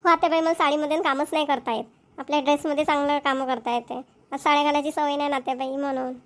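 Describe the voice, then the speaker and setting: A high, quick voice of a woman acting as a young village daughter-in-law in a homemade cartoon comedy. She is dutiful and bashful, with a Varhadi Marathi accent. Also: little pitch movement, lightly acted. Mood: dutiful, earnest.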